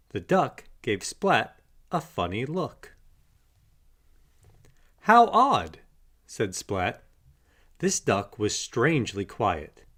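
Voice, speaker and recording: A man narrates a children's picture book in an unhurried, expressive way. His mid-pitched voice moves up and down in lively swings as he tells the story. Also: husky. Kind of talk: children's read-aloud narration.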